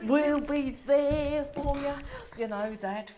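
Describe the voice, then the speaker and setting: Chatty heavenly gatekeeper played by a woman, higher-pitched, bumbling and persuasive, stage comedy. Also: slow, warm tone. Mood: reassuring, amused. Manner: chatty, stammering, jovial. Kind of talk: stage comedy play acting.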